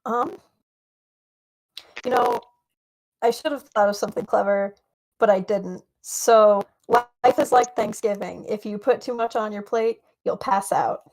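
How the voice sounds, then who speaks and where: A woman's voice: mid-range voice, unhurried, darkish tone, poor audio. A young woman answers interview questions in a loose, casual way. She hesitates and rambles, dropping in playful remarks between ums. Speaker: interview guest, roleplay player.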